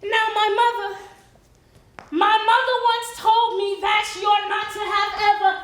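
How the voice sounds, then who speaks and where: A woman playing a proud, commanding elderly abolitionist in a theatrical monologue, telling her story in an American accent with dramatic pauses and sung phrases. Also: very high-pitched, flat delivery. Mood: earnest, reflective. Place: indoor theatre stage before a live audience. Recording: roomy stage, distant microphone.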